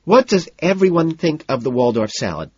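A man's voice: on the high side, sing-song, normal speed, a little mellow, slightly rough, no room sound, muffled recording, voice pushed up. A man voicing a whiny, fretful young society woman in an audiobook story, with a plaintive, sighing manner. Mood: bright, curious.

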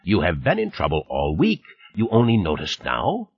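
An irritable old man, as a male narrator acts him out, husky and theatrical, his pitch swinging widely as he taunts. His phrasing is formal and his irony is heavy, in the manner of an audiobook character. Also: huge pitch swings, normal speed, muffled recording, exaggerated voice. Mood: scornful. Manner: ironic, taunting, rhetorical questions, formal unidiomatic phrasing.

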